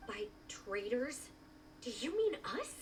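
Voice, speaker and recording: A woman's voice: fairly high pitch, big pitch swings, takes it slowly, recorded from a distance, slight background noise. A woman voicing a bitter, defiant girl accused of betrayal in a video game, indignant and combative. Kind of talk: dramatic video game voice acting.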